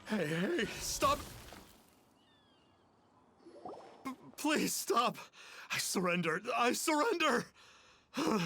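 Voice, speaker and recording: A man's voice: extremely high pitch, creaky, pushed and bright, full character voice. A man voicing a theatrical conman who poses as a sage in a video game, with pompous, grovelling, flowery old-fashioned speech. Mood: panicked, pleading. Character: theatrical repentant male conman posing as a sage. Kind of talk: game cutscene voice acting.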